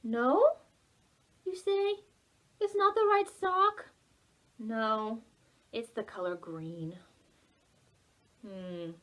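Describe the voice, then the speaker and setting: A woman leading preschool storytime, reading aloud in an expressive, playful way and speaking directly to young children. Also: fairly high pitch, drawn-out words, pauses often.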